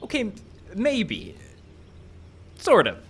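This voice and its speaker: A man's voice: sits high, wildly swooping pitch, takes it slowly, slightly rough, full character voice. Man playing a swooping, shifty movie hustler. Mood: evasive wheedling. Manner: enthusiastic, evasive, wheedling. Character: shifty, grandiose low-budget movie director, eager hustler. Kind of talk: live radio comedy acting. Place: live show in a park. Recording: live show with faint background noise.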